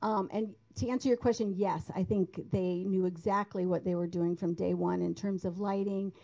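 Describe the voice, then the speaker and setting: A woman on an artists' panel, explaining in a measured way. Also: medium pitch, steady tone, gravelly, warm tone, rough recording. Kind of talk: panel discussion answer.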